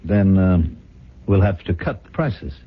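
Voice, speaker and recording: A muffled, phone-like radio recording of a suave factory boss around forty. His low, husky voice swings expressively as he plays the ambitious husband. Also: expressive, measured pace, full character voice. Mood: smooth authority. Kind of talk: 1970s radio drama acting.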